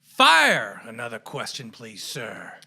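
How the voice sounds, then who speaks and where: Gravelly, bright-voiced man hosting a podcast. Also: mid-range voice, wildly swooping pitch, slow pace. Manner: punchy, colloquial delivery with showy flourishes. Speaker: podcast host. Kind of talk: boxing podcast commentary.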